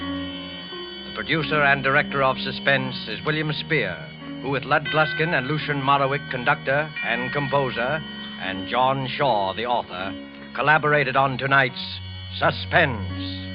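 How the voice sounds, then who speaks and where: Music plays clearly behind a male radio announcer on a dull vintage recording. He is formal and deliberate, pausing for theatrical weight in forties suspense style. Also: medium pitch. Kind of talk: 1940s radio announcing, scripted suspense narration.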